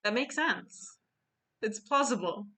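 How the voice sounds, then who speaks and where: Woman hosting a stream, bright clear-cut voice, casual and playful, telling a goofy story off the cuff. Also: on the high side, animated, slow pace. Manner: playful, acts out quoted lines with exclamations. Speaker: host of a live online dramatic reading and drawing stream. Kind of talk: casual chat retelling a silly story.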